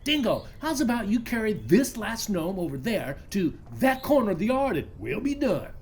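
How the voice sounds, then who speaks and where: An actor playing a breezy, slangy handyman in a radio play, full of corny flattery, with a husky voice. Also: mid-range voice, moderate pace, slight background noise. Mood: breezy, joking. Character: folksy, jokey, slangy male handyman and flatterer.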